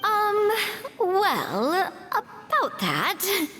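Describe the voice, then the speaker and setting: A high, lively female voice for a poised young noblewoman in a video game. She is friendly and conversational, with clear music behind her. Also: very slow, heavily acted. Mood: hesitant. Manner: conversational, explanatory.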